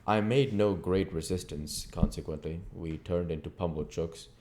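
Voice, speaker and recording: A man's voice: low voice, moderate pace, noisy background. A man reading a classic novel aloud as narrator, now and then stumbling over words and correcting himself. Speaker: audiobook reader.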